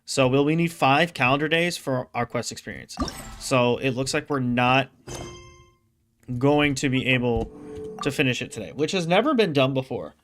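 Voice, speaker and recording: A man's voice: mid-range voice, normal speed, a bit bright, faint music behind. A man giving casual, rambling game commentary, thinking aloud as he streams.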